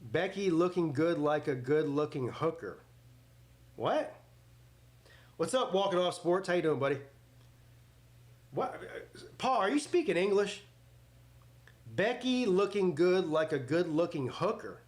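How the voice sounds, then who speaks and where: A man's voice: on the high side, expressive, measured pace, long pauses. A man in his forties hosting a live sports watch party, mixing casual chat with play-by-play in a blunt, joking, rambling way. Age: 40s.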